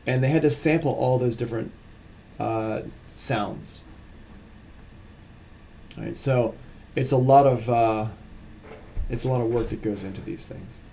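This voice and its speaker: A man with a gravelly, mellow voice explains sampling software in a loose, conversational way, talking as he clicks through screens. He speaks at a medium pitch into a desk mic. A faint hiss sits behind the voice. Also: measured pace, long pauses. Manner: casual, relaxed explaining while working on screen. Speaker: music production instructor. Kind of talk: live-streamed software tutorial.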